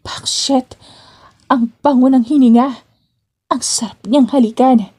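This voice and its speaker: A woman's voice: high-pitched, fairly even intonation, unhurried, warm tone, slightly rough, no echo, pitched above the performer's own voice. A woman voicing a flustered, giddy young employee smitten with her boss in a romance audiobook, with stammering exclamations. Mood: giddy, amazed. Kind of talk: romance audiobook character acting.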